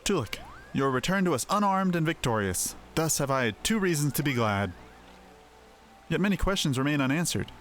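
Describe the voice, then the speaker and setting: A man's voice: fairly high pitch, very animated, moderate pace. A man voicing a gracious female elder seer from a fantasy game, in formal, archaic phrasing. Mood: solemn, gracious. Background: faint music.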